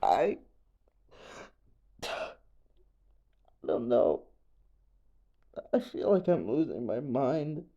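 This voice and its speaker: A man playing a distraught, grieving young man in an audio roleplay confession, stammering and trailing off as he haltingly tells an unbelievable story. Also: mid-range voice, sing-song, gravelly, mellow, dull audio, full character voice. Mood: frightened, disbelieving.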